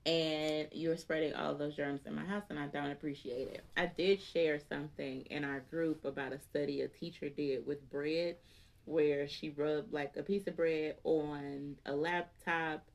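A woman co-hosting a casual chat, her voice very low with a gravelly fry. She tells stories with mock outrage and laughs often. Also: steady tone, unhurried. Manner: storytelling, exaggerated indignation, laughs often. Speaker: podcast co-host.

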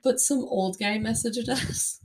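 A woman's voice: fairly high pitch, unhurried, a little mellow. A young American woman co-hosting a podcast in casual chat, her voice worn by a cold. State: recovering from a cold and lost voice. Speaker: podcast co-host.

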